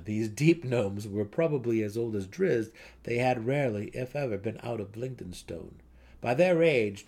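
Man narrating fantasy with lively intonation. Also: lowish voice. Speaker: audiobook narrator. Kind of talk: dramatic scripted fantasy narration.

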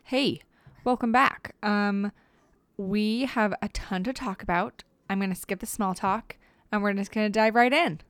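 A woman hosting a podcast, interviewing a guest in a gentle, supportive way. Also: medium pitch, clean tone. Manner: supportive, gentle, quick to affirm. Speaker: podcast host.

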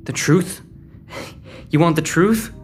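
A man's voice: a little high, slow, faint music behind. Young man playing guarded, troubled teenage boy. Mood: frustrated, scared. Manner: guarded, terse, weary. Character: troubled, short-tempered teenage boy, protective, burdened. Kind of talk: sci-fi audio drama acting.

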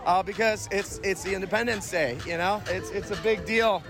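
A man from Hungary, building a gym float, talking haltingly with many fillers outdoors at a parade. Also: sits high. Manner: hesitant, many fillers and restarts. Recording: music playing behind.

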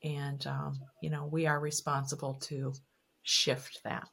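A woman co-hosting a podcast, speaking softly and in a measured way from farther off the mic. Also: little pitch movement, vocal fry. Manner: soft, measured, low-key. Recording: distant mic with faint noise.

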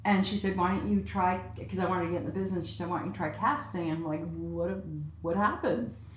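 An older woman with a slightly low voice tells her life story in a chatty, laughing way, acting out the things people said to her. Her voice bounces around a roomy, echoey space. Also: brisk, slight background noise. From Egypt. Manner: chatty, laughing, animated quoting. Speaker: guest, casting director. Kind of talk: casual interview storytelling.

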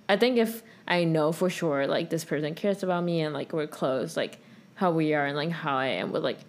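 A young woman co-hosting a podcast, chatting casually and rambling with lots of "like". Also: low-pitched. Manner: rambling, heavy use of "like". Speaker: podcast co-host. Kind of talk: casual chat.

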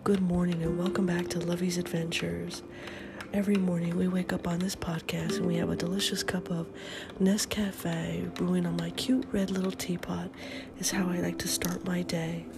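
Stuffy-nosed woman, whispery, gravelly. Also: fairly low pitch, level tone, moderate pace. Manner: often whispery, upbeat and chatty. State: stuffy nose from a head cold. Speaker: podcast host. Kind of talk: casual morning chat.

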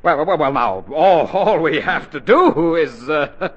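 A man playing an excitable middle-aged American father who stammers and sputters, in 1940s radio comedy style. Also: fairly high pitch, unhurried. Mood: flustered. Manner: stammering, sputtering, repeats words. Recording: dull, lo-fi 1940s radio recording.

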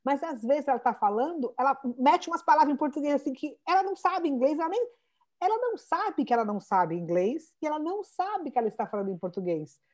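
Brazilian woman chatting in an interview, high voice, lots of stops and restarts. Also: fast. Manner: animated storyteller, many restarts and "né". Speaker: founder of a Portuguese school for children. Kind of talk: conversational interview.

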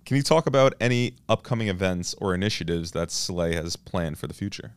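Low-voiced, quick-talking man hosting a podcast. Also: expressive, smooth. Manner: affirming, sums up what the guest says. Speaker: podcast host. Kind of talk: casual interview chat.

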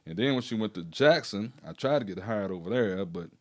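A man, a retired PE teacher telling stories in a rambling, animated way and laughing often. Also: lowish voice, brisk. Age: 60s. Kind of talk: casual storytelling conversation.

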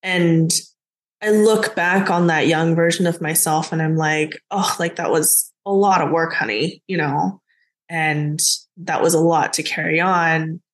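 A woman's voice: low-pitched, fairly even intonation, a little slow. A woman from the United States talking as a guest, reflective and casual, with many "like" fillers. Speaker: business mentor, guest. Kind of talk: casual conversation.

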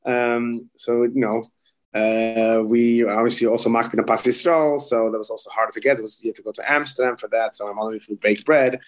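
A man's voice: medium pitch, fairly even intonation, brisk. A man in his forties from the Netherlands with a Dutch accent, a rabbi talking casually in an interview, often repeating words and restarting. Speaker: kosher supervision rabbi.

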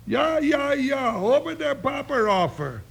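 Creaky, high-voiced comic cowboy sidekick. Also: male voice, sing-song, measured pace, a bit bright, exaggerated voice. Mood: baffled. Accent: American. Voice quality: gravelly, creaky. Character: loyal, folksy, slow-witted comic cowboy sidekick. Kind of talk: old-time radio western acting. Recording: hissy old radio broadcast.

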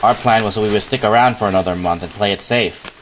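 A man voicing a cautious, sarcastic talking cat in an animated film fandub, with a dry, wary delivery. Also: on the low side, fast talker, noisy background, natural voice. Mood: wary, sardonic.

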